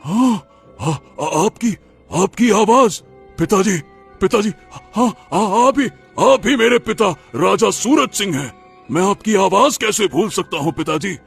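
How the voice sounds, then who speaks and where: A man playing an excitable, greedy, scheming king in a Hindi cartoon folk tale, theatrical and repeating his phrases. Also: sits high, a little slow, creaky, husky, soft background music, heavily acted. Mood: overwhelmed. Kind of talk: dramatic Hindi cartoon story acting.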